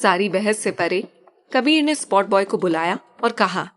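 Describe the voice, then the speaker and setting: A woman narrating a story audiobook in a steady, even storytelling voice. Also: medium pitch, normal speed.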